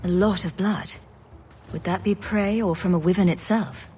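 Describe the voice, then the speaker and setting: A woman playing a confident, sardonic adventurer with a wry, deadpan manner in a scripted fantasy game. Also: on the low side, moderate pace, faint music behind, natural voice. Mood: matter-of-fact.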